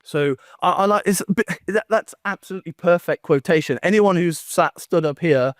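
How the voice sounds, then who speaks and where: A man giving an academic conference talk in a wry, self-deprecating, halting way, with joking asides. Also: sits high, no room sound, studio quality. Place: conference room, speaking standing before an audience.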